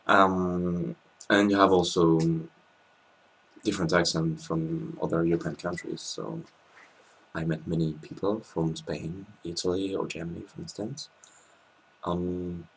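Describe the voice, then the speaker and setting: A man in his twenties with a French accent, chatting casually as a guest, hesitant with many pauses and restarts, recorded on a laptop webcam in a small room. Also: deep voice, flat delivery, creaky, fairly bright tone, a little hiss or hum, poor audio. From France. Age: 20s. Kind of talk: casual interview chat.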